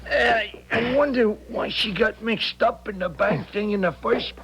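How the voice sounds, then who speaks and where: An actor playing a timid, whiny, dim-witted crook's sidekick in a 1970s radio drama, stammering and hesitant. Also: male voice, sits high, measured pace, fairly bright tone. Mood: uneasy, reluctant. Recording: noisy 1970s radio broadcast recording.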